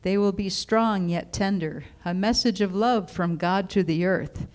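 A woman voicing wise Old Turtle, slow and solemn. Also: medium pitch, darkish tone, close to an everyday voice, poor audio. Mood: proclaiming. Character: wise old female turtle, calm and gentle.

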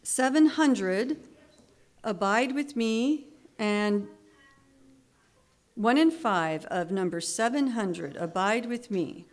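A woman's voice: medium pitch, animated, slow, long pauses. A woman leading a congregational hymn sing in church, her voice a little scratchy, talking in a friendly, chatty way with hesitant restarts. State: slightly scratchy voice. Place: church sanctuary.

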